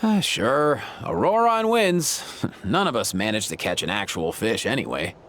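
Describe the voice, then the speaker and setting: Highly animated, easygoing young guy. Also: measured pace, noisy background. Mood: casual. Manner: slangy, sighing and exclaiming. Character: easygoing young man, casual, animated, good-natured and exasperated. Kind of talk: video game voice acting. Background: faint game background music.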